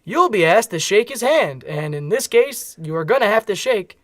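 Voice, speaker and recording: A streetwise old-hand henchman in a comic radio play. His voice is high and recorded very dry, with an easygoing, colloquial, chummy delivery. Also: big pitch swings, normal speed, fairly bright tone, no room sound. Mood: confiding, matter-of-fact. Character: easygoing streetwise veteran henchman, male, loyal to boss. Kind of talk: comedic radio theatre acting.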